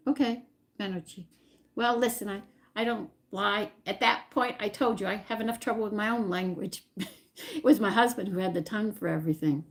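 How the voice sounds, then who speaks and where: Gravelly-voiced woman thinking aloud. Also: mid-range voice, fairly quick. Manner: rambling, thinks aloud while searching her memory. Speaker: home cooking channel host. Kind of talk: casual live-stream chat answering viewers.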